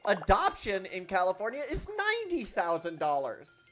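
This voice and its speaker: A man's voice: high voice, wildly swooping pitch, moderate pace, fairly bright tone, faint music behind. A young man chatting casually on his stream, rambling and self-deprecating with frequent laughs. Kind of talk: casual chat while gaming.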